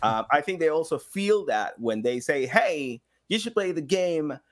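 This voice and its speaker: A man in his thirties talks casually as a guest on a podcast. His pitch swings widely as he tells stories, and he slips into acting out short quotes. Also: measured pace. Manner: animated, acting out little quotes. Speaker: podcast guest, game studio engagement director and sound designer. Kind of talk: casual conversational storytelling. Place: small home room with guitars.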